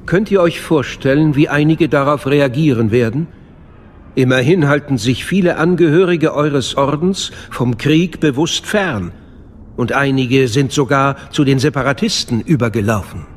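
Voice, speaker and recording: An actor playing a dignified older chancellor in a radio play, with a formal, measured manner. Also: male voice, medium pitch, slight background noise, natural voice. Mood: displeased. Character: dignified, smooth-talking older male chancellor. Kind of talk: dramatic radio play acting.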